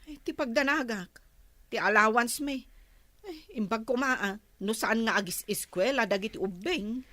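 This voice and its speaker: A woman's voice: a little high, moderate pace, bright, forceful tone, no room sound. A woman playing a weary, complaining wife and mother with a Filipino accent, plaintive in a radio drama. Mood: exasperated.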